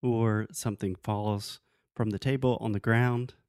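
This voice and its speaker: A man's voice: lowish voice, fairly even intonation, a little slow, a little mellow, clear, resonant tone, no room sound. Casual male English teacher, dry close sound. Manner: explains with everyday examples, checking understanding. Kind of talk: casual conversational language lesson.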